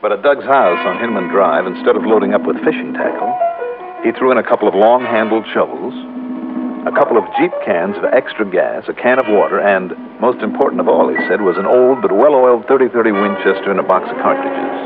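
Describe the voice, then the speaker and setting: An inquisitive private investigator from old-time radio drama, impatient and quick to question. His lowish voice moves animatedly over a narrow, vintage broadcast recording with music behind. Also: big pitch swings. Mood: brisk storytelling. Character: inquisitive male insurance investigator, narrating his case, impatient. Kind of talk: 1950s radio detective drama acting and narration.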